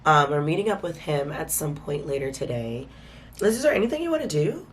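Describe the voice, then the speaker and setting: A woman's voice: low-pitched, very animated, a little fast, a little hiss or hum. Stuffy-nosed woman chatting to camera. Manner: chatty, informal, addresses viewers as y'all. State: stuffy, congested from a cold. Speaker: vlogger host. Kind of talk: casual vlog chat to camera.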